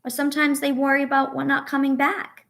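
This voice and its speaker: A woman teacher reading aloud to young children and guiding a breathing exercise, with an encouraging, instructive, expressive storybook delivery. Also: high voice, a little fast, creaky.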